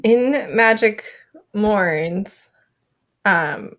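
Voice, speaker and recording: A woman chatting casually and emphatically as co-host of a book readalong, animated when retelling. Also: mid-range voice, very slow. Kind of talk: casual chat about books.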